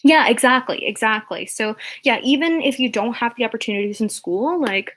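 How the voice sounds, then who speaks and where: A woman's voice: fairly quick, fairly bright tone. Chatty young woman, rambling livestream talk. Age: young adult. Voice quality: low speaking voice. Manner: rambling with many fillers and restarts; encouraging and earnest. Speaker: YouTuber answering viewer questions. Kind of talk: casual unscripted chat on a livestream.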